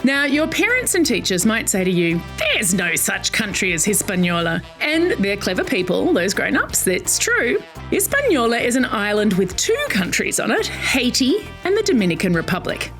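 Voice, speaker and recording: Animated woman with an Australian accent. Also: medium pitch, normal speed, soft background music. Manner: bright, playful, animated storyteller. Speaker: host. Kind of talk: scripted educational narration for kids.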